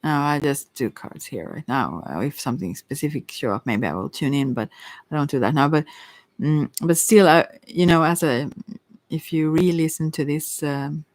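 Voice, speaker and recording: A tarot reader talking casually and hesitantly, thinking aloud while answering questions, in a soft voice that at times drops to a whisper. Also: medium pitch, normal speed, no echo. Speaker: tarot reader hosting a live reading.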